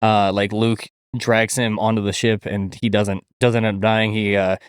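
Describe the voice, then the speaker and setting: A man co-hosting a podcast in casual, sweary chat, halting and informal as he explains. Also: fairly low pitch, flat delivery, clear, resonant tone, no echo, clean studio sound. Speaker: podcast co-host.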